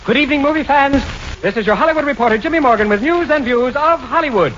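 A man's voice: extremely high pitch, animated, fast talker. A man playing a pompous, emphatic Hollywood gossip reporter in a 1940s radio comedy. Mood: pompous, urgent. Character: self-important, breathless Hollywood gossip reporter. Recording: very noisy muffled 1940s radio recording.

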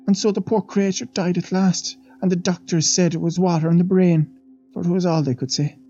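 A man's voice: high-pitched, steady tone, fairly quick, warm tone, faint music behind, pitched above the performer's own voice. A man voicing a gossiping Irish housemaid. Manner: chattering, fearful, pious asides. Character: gossiping middle-aged Irish housemaid, superstitious. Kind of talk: audiobook reading. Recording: dull lo-fi dry recording.

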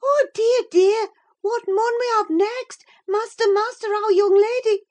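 A breathless young country maid, pitched very high above everyday speech. She gushes excitably, like a frightened storybook servant spilling news. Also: normal speed. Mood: frantic alarm. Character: panicked, breathless young servant maid, chattering rustic gossip. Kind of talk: audiobook character acting.

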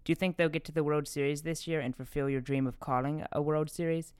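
A man's voice: a little high, fairly even intonation, fast talker, clear, resonant tone. A man co-hosting a podcast, asking questions and recapping in a casual chatty style. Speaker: podcast co-host. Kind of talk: casual interview and recap chat.

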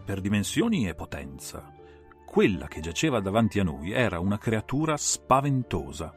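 A man's voice: on the low side, wildly swooping pitch, normal speed. A man narrating an audiobook in a dramatic, theatrical and suspenseful style. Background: faint music and sound design.

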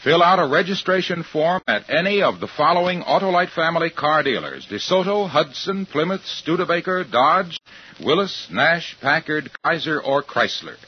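A man's voice: a little high, sing-song, normal speed, husky. A man delivering a 1950s radio commercial as an announcer, emphatic and polished like a salesman. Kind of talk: 1950s radio advertising pitch. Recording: dull vintage radio broadcast recording.

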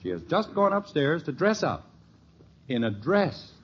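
A man's voice: fairly high pitch, big pitch swings, a little slow, husky, full character voice. A middle-aged man playing a genial, wry family father in a 1950s radio sitcom, dry and teasing. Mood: wryly amused. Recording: muffled, hissy old radio recording.

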